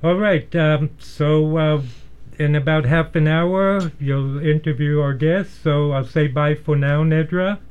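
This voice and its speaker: A man hosting a radio show from a studio, introducing and questioning guests in a formal, earnest, measured way. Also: a little high, smooth, narrow, tinny audio. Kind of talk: measured scripted introduction and interviewing. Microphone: studio microphone.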